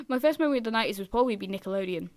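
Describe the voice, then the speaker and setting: A woman's voice: a little high, dry recording. A young woman in her twenties with a childlike voice, co-hosting a casual student radio chat in a studio, self-deprecating and ranting a little. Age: 20s. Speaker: radio co-host.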